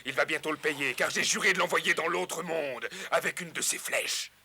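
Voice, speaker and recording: An actor playing a noble, resolute outlaw leader in a French cartoon dub. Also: male voice, on the high side, normal speed, creaky, pushed and bright, breathy edge, full character voice. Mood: solemn, welcoming.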